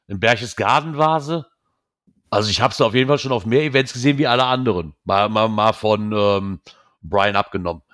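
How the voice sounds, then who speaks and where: A man's voice: on the low side, a little fast. Rambling German podcast host, man. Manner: rambling, self-correcting, animated when joking. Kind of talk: casual chat.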